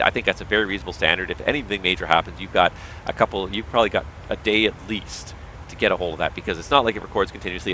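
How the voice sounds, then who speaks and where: Male streamer, pilot; low voice, quick delivery, strained and tiring, explanatory and opinionated. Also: bright, forceful tone, slight background noise, poor audio. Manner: explanatory, opinionated, talks in long running thoughts. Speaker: livestreamer and pilot. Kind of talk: casual livestream commentary.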